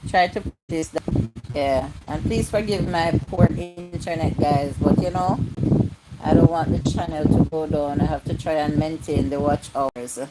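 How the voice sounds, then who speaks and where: A woman's voice: low-pitched, a little slow, heavy background noise. A woman, chatting casually on a livestream, reading comments aloud and repeating her points. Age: 50s. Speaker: YouTube live streamer.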